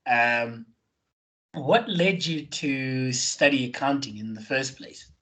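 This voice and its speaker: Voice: mid-range voice, takes it slowly. Effusive podcast host. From Johannesburg, South Africa. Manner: warm, effusive, with many fillers and repeated words. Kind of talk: interview hosting.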